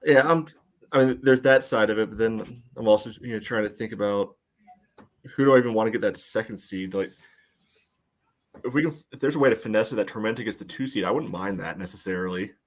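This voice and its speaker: A man's voice: fairly low pitch, a little fast. A man co-hosting a soccer podcast, talking through analysis in a casual, dry, wry way. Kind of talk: casual sports analysis chat.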